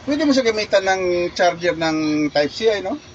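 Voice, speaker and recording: A man's voice: high voice, sing-song, normal speed, slight background noise. A man casually reviewing a gadget, talking loosely with frequent restarts and fillers, recorded on a phone. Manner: chatty, repeats himself, many restarts and fillers. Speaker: product reviewer vlogger. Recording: muffled lo-fi recording.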